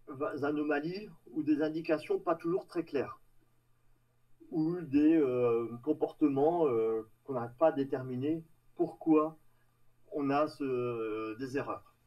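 A man giving a live technical presentation in a halting, hesitant way, trailing off between phrases. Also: a little high, dull audio. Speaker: tech meetup presenter. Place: online video meeting with screen share. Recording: distant mic on online call.

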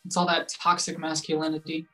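A woman's voice: deep voice, completely flat delivery, fairly quick, fairly bright tone, soft background music, low-quality recording. A young woman playing a dejected, wry grown-up son in a play reading over a video call. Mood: dejected, resigned. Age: young adult. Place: room at home, indoors.

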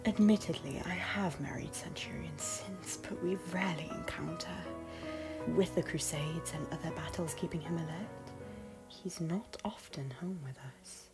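A woman playing a solemn, wistful noblewoman in an animated drama, speaking in hushed, breathy, formal poetic phrases. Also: fairly low pitch, unhurried. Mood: grave, wistful. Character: solemn, wistful noblewoman nursing lost love. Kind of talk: dramatic animated-film voice acting. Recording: music under the voice.

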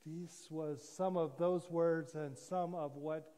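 A man in his sixties preaching a sermon as a pastor, solemn and deliberate, with pauses and repetition. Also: on the high side, fairly even intonation, dark tone. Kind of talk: sermon, measured oratory. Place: church sanctuary, indoors at a lectern. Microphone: gooseneck lectern microphone. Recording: reverberant church hall sound.

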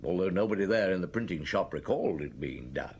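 A man playing a shrewd, unhurried French police chief inspector in a radio drama, musing and wry. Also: low-pitched, animated, a little mellow, husky, full character voice. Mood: reflective. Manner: musing, deliberate, wry, hesitant pauses. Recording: old radio broadcast.

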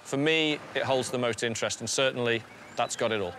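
A man's voice: medium pitch, a little fast, background noise. A middle-aged British man, a plain-spoken antiques dealer, haggling and commenting informally. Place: outdoors in a scrapyard. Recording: music behind the voice.

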